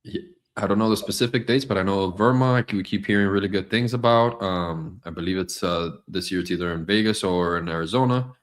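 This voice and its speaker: Man, very deep voice, hoarse, rambling podcast co-host, lots of fillers. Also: a little fast. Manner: rambling, many fillers and restarts. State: hoarse, losing his voice. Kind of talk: casual business podcast chat. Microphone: studio mic.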